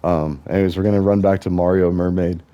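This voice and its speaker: A young man with a deep, mellow voice talks on and on while playing a game. His thoughts wander from one tangent to the next in a loose, musing way. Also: steady tone, fast, clear, resonant tone, dull audio. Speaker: gaming vlogger.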